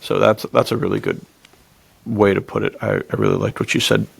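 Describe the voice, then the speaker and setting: A man's voice: on the low side, big pitch swings, brisk, vocal fry, husky, no room sound. A man co-hosting a podcast, discussing films in an informal, laid-back, slangy way. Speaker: podcast co-host. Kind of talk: casual film discussion.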